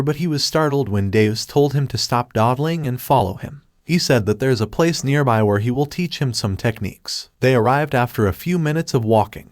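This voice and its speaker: A man's voice: medium pitch, no echo, studio quality. A man reading a scripted story recap as a narrator, voicing quoted lines in his own narrating voice.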